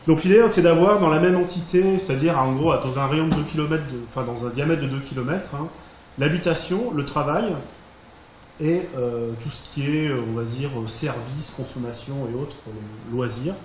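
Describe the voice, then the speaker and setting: A man's voice: on the high side, normal speed, a little mellow. French man presenting in an echoey room. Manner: thinking aloud, many fillers and restarts. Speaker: workshop participant presenting group results. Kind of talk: informal presentation. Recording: echoey room, faint background hiss.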